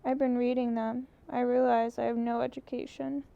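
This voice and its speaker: A woman's voice: on the high side, flat delivery, moderate pace, gravelly, smooth. A woman voicing a restless, earnest young woman photographer in an audiobook. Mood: musing. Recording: muffled lo-fi recording.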